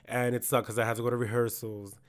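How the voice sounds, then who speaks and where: A hoarse-voiced man talking in a casual podcast chat, animated, acting out quoted reactions. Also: medium pitch, fast talker. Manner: chatty, animated storytelling with quoted asides.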